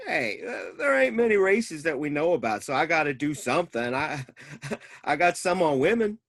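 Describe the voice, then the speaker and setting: A man's voice: on the high side, very animated, normal speed, fairly bright tone, heavily acted. A man playing a crass, stubborn, folksy boulder seller in a staged play reading. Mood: crass, dismissive. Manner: folksy ungrammatical talk, chuckling.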